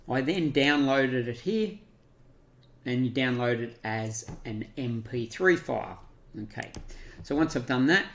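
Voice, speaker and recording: Man, Australian accent, gravelly fry, average pitch, halting step-by-step software tutorial delivery. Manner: halting, step-by-step, thinking aloud. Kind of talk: unscripted screen-share walkthrough.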